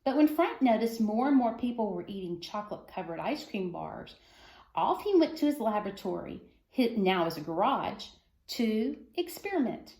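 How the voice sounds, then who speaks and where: A woman's voice: mid-range voice, big pitch swings, moderate pace, darkish tone, reverberant. A woman reading a children's story aloud for schoolchildren, phrasing expressively and stressing key words. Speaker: reader of a children's book.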